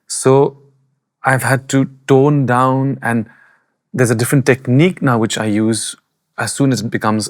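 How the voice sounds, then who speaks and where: A man who sings in a choir, explaining candidly and with self-deprecation how he tones his loud voice down. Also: medium pitch. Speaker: choir singer. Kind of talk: conversational explanation. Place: podcast studio.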